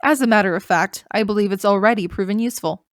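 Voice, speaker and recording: A woman voicing a composed female rabbit prosecutor who speaks in a cool, measured, condescending way. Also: mid-range voice, no echo, natural voice. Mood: smug.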